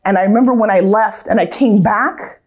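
A woman's voice: medium pitch, normal speed, a little mellow. An American woman from Southern California, in her thirties, reflecting earnestly on her life in a casual, storytelling way, with frequent restarts.

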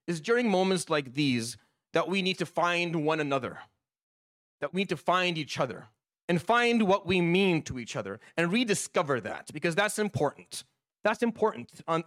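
A man's voice: a little high, fairly bright tone, dry recording, studio quality. Impassioned man preaching a sermon. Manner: impassioned, repetitive. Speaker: imam. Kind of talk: Friday sermon.

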